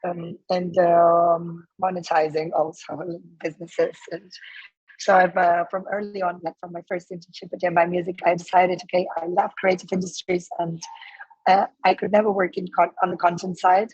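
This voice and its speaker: A woman in her thirties from Ukraine, hoarse and losing her voice, talking casually as a guest with many fillers and restarts. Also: fairly low pitch, little pitch movement, moderate pace, darkish tone, no echo, highs cut off. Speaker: guest, startup co-founder. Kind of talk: casual interview answers.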